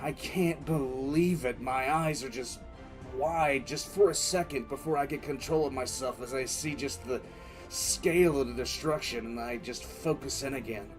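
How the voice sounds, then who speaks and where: A man playing a battered, dutiful military commissar in an audio drama, narrating battle in the first person with intense, halting delivery. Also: medium pitch, lightly acted. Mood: weary, shaken. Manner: intense, halting, repeats phrases. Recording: background music under the voice. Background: music.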